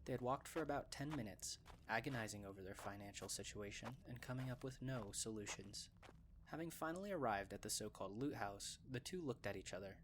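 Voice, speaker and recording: A man's voice: on the low side, animated, fairly quick, a little hiss or hum, low-quality recording. A man narrating a scripted story in an audiobook style.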